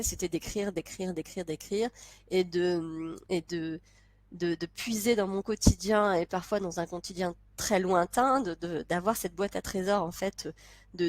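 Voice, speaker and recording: A woman's voice: on the low side, darkish tone, dry recording. A young woman chatting to camera with a congested, stuffy voice, laughing often and restarting her sentences. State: congested from a cold. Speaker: vlogger. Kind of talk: casual chat to camera.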